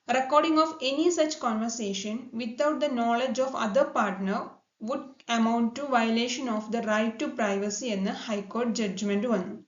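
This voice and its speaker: Malayali lady explaining law stuff formally, kinda high voice, echoey dull recording. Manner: formal, mixes in English legal terms. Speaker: legal explainer host. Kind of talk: scripted explanatory narration. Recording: dull lo-fi sound, echoey room.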